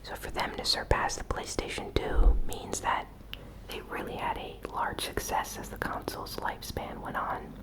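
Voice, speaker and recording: A woman hosting an ASMR gaming news recap in a breathy whisper, with soft, unhurried, informal commentary.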